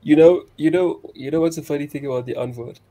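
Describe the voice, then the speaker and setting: A man's voice: fairly high pitch, fast talker, mellow, dry recording, rough recording. A man in his twenties with a South African accent, chatting casually as a guest on a panel. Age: 20s. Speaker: panel guest asking questions. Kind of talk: casual chat.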